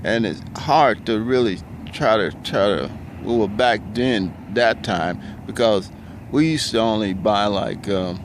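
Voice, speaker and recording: A middle-aged man with a baritone voice, talking in a casual, rambling monologue full of ums and restarts. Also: slow pace. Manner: hesitant, many ums and restarts, loose and conversational. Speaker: vlogger. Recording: clear outdoor traffic noise. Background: cars and street noise.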